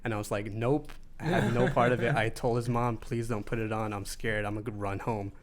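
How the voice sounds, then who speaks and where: A man's voice: lowish voice, a little fast. Laid-back man telling stories. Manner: relaxed storytelling with many fillers. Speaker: podcast guest. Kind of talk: casual chat.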